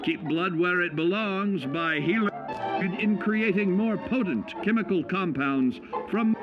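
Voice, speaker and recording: An actor playing a cheerful, folksy narrator of 1950s-style government survival films, selling each point with measured, upbeat emphasis. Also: male voice, high-pitched, dull audio. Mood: upbeat, instructive. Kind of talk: 1950s instructional film narration. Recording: vintage band-limited film sound, music behind. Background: music.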